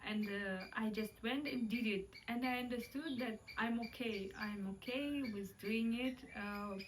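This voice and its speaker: A woman's voice: a little high, unhurried, recorded from a distance, noisy background. A young Kazakh woman with a Kazakh accent, talking casually and reflectively, hesitating often with fillers. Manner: hesitant, many fillers, light laughs. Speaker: vlogger.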